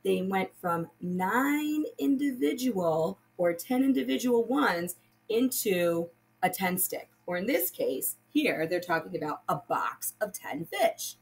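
A woman's voice: medium pitch, big pitch swings, slow pace, vocal fry. A woman teaching young children, patiently explaining step by step and reading aloud like a primary school teacher. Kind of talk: children's lesson and picture book read-aloud.

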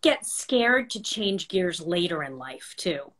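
A woman in her thirties hosting a conversational interview over a video call in an expressive way. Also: fairly low pitch, slow pace. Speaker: interviewer, host. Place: home room with bookshelves, video call.

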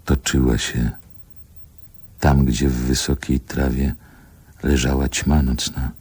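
An actor playing a wistful older man who narrates his childhood in a solemn, poetic radio drama monologue, with a gravelly, husky voice. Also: extremely low pitch, little pitch movement, a little mellow, heavily acted. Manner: deliberate, solemn, poetic delivery.

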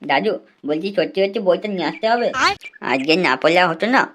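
Woman voicing a fast-talking, cheeky cartoon grandson. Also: on the low side, lively intonation, over loud music, natural voice. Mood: whiny, reluctant. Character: cheeky, foul-mouthed young grandson, easily frightened. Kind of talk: comic cartoon dubbing in colloquial Bengali.

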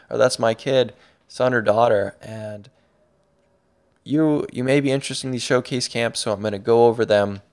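A man's voice: mid-range voice, vocal fry. A man narrating an online course over slides, upbeat and a little rambling, with frequent restarts. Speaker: course instructor and website creator.

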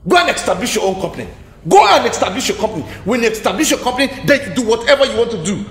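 A man's voice: very high voice, sing-song, racing, a bit bright, heavily acted. An actor playing a stubborn man who mockingly defends his company rules. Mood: dismissive. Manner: dismissive, mocking. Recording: echoey room.

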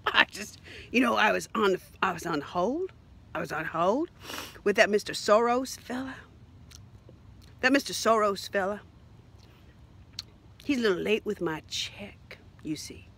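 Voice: medium pitch, expressive, measured pace, vocal fry, long pauses, exaggerated voice. A performer voicing a rambling, theatrical, crude mock Southern grifter in an improvised satirical monologue, with drawling, melodramatic repetition. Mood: rambling, confiding. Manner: drawling, melodramatic, repetitive, lurching from whispers to shouts.

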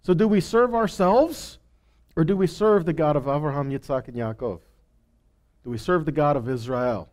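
Male Bible teacher, middle-aged, slightly high register, question-driven sermon delivery on a headset mic. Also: normal speed, a little mellow. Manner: rhetorical questions with frequent check-ins like "okay?" and "right?". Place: indoor stage in a meeting hall.

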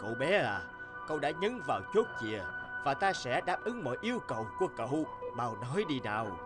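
A man voicing a tiny old genie in an animated fairy tale, obliging and commanding, with a grand, measured delivery. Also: medium pitch, loud music behind the voice, close to an everyday voice.

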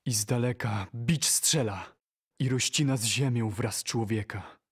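A man reciting poetry for an audio drama in a solemn, measured manner. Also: mid-range voice, husky.

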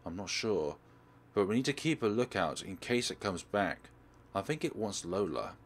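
A man's voice: fairly low pitch, very animated, moderate pace, slight background noise. A man voicing a protective teenage girl in a horror visual novel, explaining things with grave urgency. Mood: grave, urgent. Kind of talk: visual novel character read-aloud.

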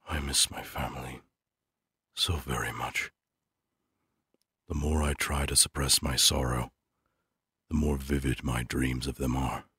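A man playing a melancholy, lonely exiled skeleton scientist in a comic dub, formal and brooding, with a breathy, near-whispered voice. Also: extremely low pitch, no echo, studio quality, exaggerated voice. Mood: sorrowful, musing. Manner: brooding, formal, slow musing. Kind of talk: dramatic comic-dub monologue. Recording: loud music under the voice. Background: loud music.

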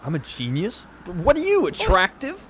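A man playing an annoying, sarcastic and excitable pub mate in a crude comedy sketch, whining and exclaiming. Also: high voice, normal speed, noisy background. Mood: sarcastic, goading.

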